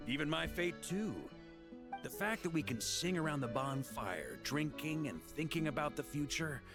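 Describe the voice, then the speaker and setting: An actor playing a hearty, rugged knight commander in a video game, jovial and philosophical with a rousing, theatrical manner. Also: male voice, a little high, expressive, moderate pace. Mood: reflective. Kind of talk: dramatic video game voice acting. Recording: music behind the voice.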